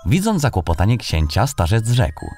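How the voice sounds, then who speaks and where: A man with a very low voice narrates a fairy tale from a script. His expressive delivery rises and falls in a lively way, and music plays behind him. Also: moderate pace. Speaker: audiobook narrator. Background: background music.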